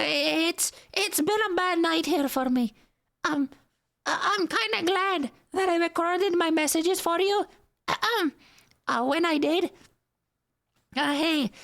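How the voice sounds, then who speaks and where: Doomed nervous caller, extremely high cartoon voice, slow halting delivery, horror game voicemail. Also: vocal fry, a bit bright, exaggerated voice. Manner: halting, stammering.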